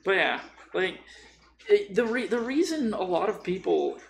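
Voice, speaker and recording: A man's voice: high-pitched, sing-song, a little slow. A young man in casual podcast chat, joking, irreverent and freely swearing. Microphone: boom-arm microphone.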